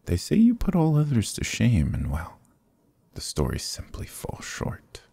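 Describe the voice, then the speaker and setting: A man playing a sly, charming adventurer thief in a fantasy ASMR roleplay, smooth-talking, courtly and evasive, with theatrical hesitations. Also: mid-range voice, wildly swooping pitch, measured pace, mellow, dry recording, clean studio sound, full character voice. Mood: flattering, wheedling. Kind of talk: fantasy ASMR roleplay acting, close and soft.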